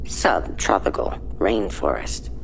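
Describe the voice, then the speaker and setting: A man voicing a shuttle's onboard computer, reading out results in clipped, flat phrases. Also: low-pitched, slow pace, creaky, slight background noise, pitched below the performer's own voice, exaggerated voice. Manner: clipped monotone readouts.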